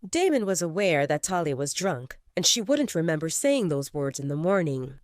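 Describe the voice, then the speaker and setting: A woman narrating a scripted audio drama in an expressive, intimate storytelling manner. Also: low-pitched, normal speed, dry recording, clean studio sound.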